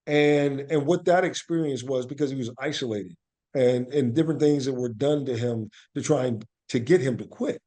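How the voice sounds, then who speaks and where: A man with a medium-pitched voice talks as an interview guest. He is earnest and reflective, explaining in a conversational storytelling way and repeating key phrases for emphasis. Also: normal speed, dark tone. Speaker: interview guest, actor and playwright.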